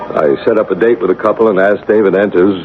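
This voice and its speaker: An actor playing a calm, methodical psychic investigator in a 1970s radio drama, measured and reassuring. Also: male voice, deep voice, soft background music, natural voice. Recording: lo-fi, noisy old radio broadcast.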